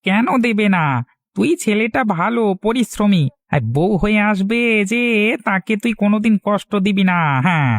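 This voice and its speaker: A man's voice: high-pitched, normal speed, no echo, clean studio sound. A man playing a kindly older village uncle in a Bengali cartoon, coaxing and reassuring. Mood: encouraging.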